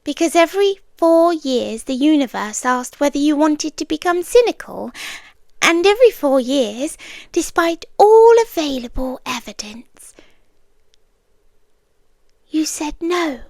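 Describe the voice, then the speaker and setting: A British woman playing an otherworldly, possessive stalker girlfriend in an ASMR roleplay, soft and breathy, often whispering, intimate and eerily tender. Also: high-pitched, big pitch swings, dry recording, clean studio sound, exaggerated voice. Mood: wistful, intimate. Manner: slow, deliberate, intimate, teasing.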